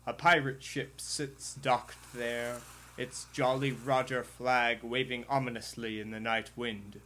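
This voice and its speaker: An actor playing a radio drama narrator, setting scenes in a measured, formal, descriptive way. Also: male voice, mid-range voice, fairly bright tone, slight background noise, close to an everyday voice. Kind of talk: scripted narration, old-style radio drama.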